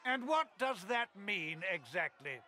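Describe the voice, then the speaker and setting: An actor playing a haughty, scornful church chancellor in a video game, pompous and sneering. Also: male voice, high voice, sing-song, bright, forceful tone, a little hiss or hum, exaggerated voice. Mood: challenging. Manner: pompous, sneering, drawn-out phrasing. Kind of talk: dramatic video game dialogue.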